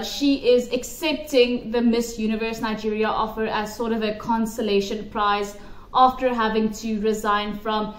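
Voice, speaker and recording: A woman giving emphatic, opinionated commentary to camera, repeating her points for stress. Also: sits high. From South Africa. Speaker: pageant commentary vlogger. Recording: roomy echo.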